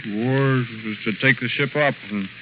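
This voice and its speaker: A man playing a guilty watchman mumbling haltingly in his sleep in a 1930s radio drama. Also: medium pitch, lightly acted. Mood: drowsy, troubled. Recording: hissy muffled 1930s radio recording.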